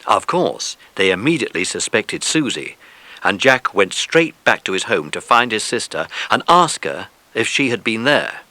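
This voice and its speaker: A man's voice: on the low side, big pitch swings, normal speed, bright, forceful tone, no room sound. A man reading scripted narration for a children's adventure audiobook.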